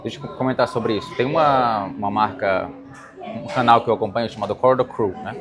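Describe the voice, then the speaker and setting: A man's voice: fairly low pitch, fast, a crowd in the background. A man with a Brazilian accent talking casually as an interviewer, explaining ideas and often checking that he is understood. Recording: music playing behind the voice. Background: music.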